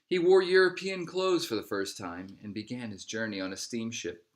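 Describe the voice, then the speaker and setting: Male storybook narrator, lower-pitched, scripted read-aloud delivery, measured phrasing with falling line endings. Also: animated. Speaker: storybook reader.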